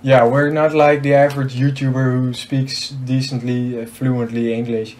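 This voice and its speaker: A man's voice: mid-range voice, little pitch movement, normal speed, darkish tone. Noisy recording of a young Dutch-accented man. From Netherlands. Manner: joking, hesitant, slightly awkward English. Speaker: host of a gaming channel. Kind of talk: casual chat over gameplay.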